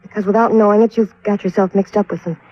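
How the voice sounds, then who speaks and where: A woman's voice: mid-range voice, fairly even intonation, fast, dry recording, natural voice. An actress playing a hesitant, guarded, uneasy woman in an old-time radio crime drama. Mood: uneasy, warning. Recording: dull vintage radio recording.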